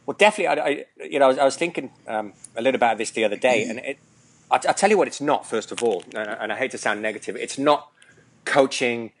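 A man's voice: mid-range voice, quick pace, slight background noise. A Welsh man, around his forties, chatting casually as an interview guest, joking and rambling with lots of fillers and restarts. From Wales. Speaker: tennis coach, guest.

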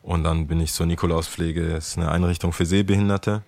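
Deep-voiced relaxed young man chatting. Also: fairly quick, vocal fry, no room sound. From Ghana. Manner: relaxed, chatty, laughs while telling stories. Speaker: guest, skate shop worker. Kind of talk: casual interview storytelling.

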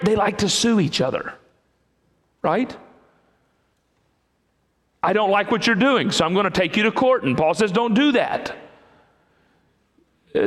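A man preaching in a church sanctuary, his voice high for a man. He talks conversationally and keeps putting questions to the congregation. The sound carries a long, hall-like reverb. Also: a bit bright. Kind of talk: interactive sermon with congregation.

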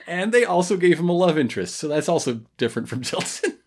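A woman's voice: lowish voice, sing-song, fast talker, darkish tone, husky. A woman co-hosting a podcast in casual, comedic recap chat, jokey and prone to puns and chuckling asides. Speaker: podcast co-host.

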